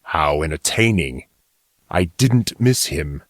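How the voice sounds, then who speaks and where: Man voicing a deep, cold, menacing wild villain. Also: expressive, slow, no room sound, studio quality, heavily acted. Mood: mocking. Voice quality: cold, low voice. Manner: menacing, mocking. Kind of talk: audiobook character acting.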